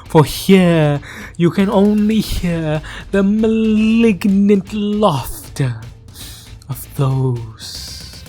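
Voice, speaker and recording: A narrator telling dark fantasy lore in an ominous, grandiose, drawn-out style that often sinks into hushed whispers. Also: mid-range voice, animated, soft background music. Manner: theatrical, drawn-out delivery with frequent hushed whispers. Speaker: narrator of a fantasy audio drama. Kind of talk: dramatic scripted lore narration.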